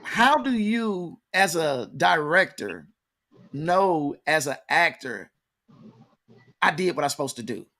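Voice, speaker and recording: Higher-voiced American man interviewing casually. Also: big pitch swings, slow, sharp tone. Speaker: interviewer, host.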